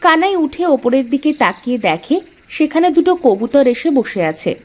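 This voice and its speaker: A woman's voice: sits high, very animated, moderate pace, darkish tone. A woman narrating a scripted cartoon folk tale as a storyteller.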